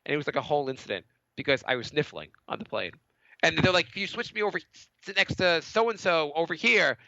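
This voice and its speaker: Chatty podcast guy, bit stuffy from a cold, quick and animated, lo-fi dry sound. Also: on the high side, gravelly, no echo. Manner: animated, acts out other people's lines in a raised voice. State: slightly congested from a cold. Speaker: podcast co-host. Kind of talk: casual storytelling chat.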